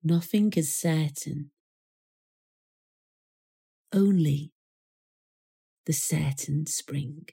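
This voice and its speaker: A woman reciting a poem in a measured, solemn manner with deliberate pauses. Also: deep voice, vocal fry, dark tone, husky. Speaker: poetry reader. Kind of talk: poetry recital.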